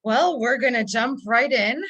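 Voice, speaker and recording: Slow-spoken woman hosting a video call. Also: a little high, fairly bright tone, dull audio. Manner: slow and deliberate. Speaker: host. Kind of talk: welcoming a guest on a video call.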